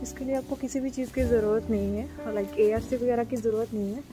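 A woman's voice: fairly high pitch, steady tone, a little fast. A woman chatting casually through a travel vlog, mixing English words into her Hindi while she walks around. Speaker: vlogger. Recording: loud background music.

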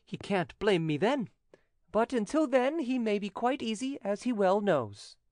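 A narrator voicing an eager, cocky young nephew in an animated, gushing manner for a storybook. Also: mid-range voice, unhurried, no echo, studio quality, pitched above the performer's own voice.

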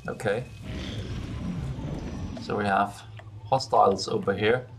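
Male, 30s, lowish voice, casual gaming host, noisy track with key clatter. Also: fairly even intonation, slow. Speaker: let's play host. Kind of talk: casual gameplay commentary. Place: small room at home. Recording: clear background noise, keyboard typing.

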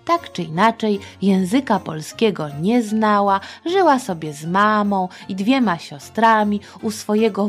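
A middle-aged woman narrating history for children in a colloquial, playful way with affectionate diminutives. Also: mid-range voice. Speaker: podcast host and storyteller for children.

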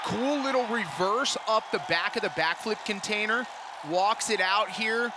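A man working as a color commentator at a live motorsport event, breaking down replays and reacting excitedly. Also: sits high, a little slow, noisy background. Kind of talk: arena sports commentary. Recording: commentary over loud arena music.

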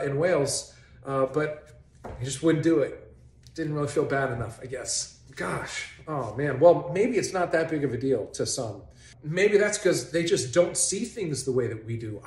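A man in his forties hosting a faith video, giving sarcastic, mock-incredulous commentary straight to camera in a bright living room. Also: on the high side. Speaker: host of a faith video series. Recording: roomy echo in a home.

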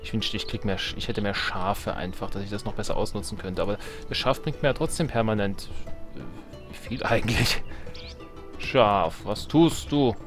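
A man's voice: fairly low pitch, normal speed. A man giving casual Let's Play commentary on a strategy game, colloquial and playfully exasperated, half talking to himself. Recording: game music behind the voice. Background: video game music.

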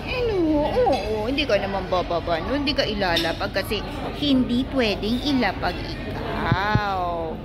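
A woman's voice: medium pitch, very animated, unhurried. A woman with a Filipino accent, chatting casually. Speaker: vlogger. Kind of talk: casual chat. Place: busy restaurant and mall interior. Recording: very noisy, crowded public place. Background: loud crowd chatter and ambient noise.

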